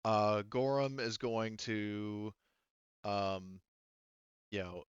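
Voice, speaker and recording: A man's voice: on the low side, very slow, clean tone, no echo, highs cut off. A man acting as game master, casually narrating and refereeing a tabletop roleplaying game, thinking aloud and often correcting himself.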